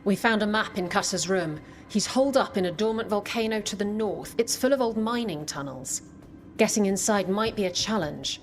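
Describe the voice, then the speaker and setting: Confident, practical fantasy game ally, female actor: medium-pitched voice over faint music. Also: moderate pace, close to an everyday voice. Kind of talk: video game cutscene acting.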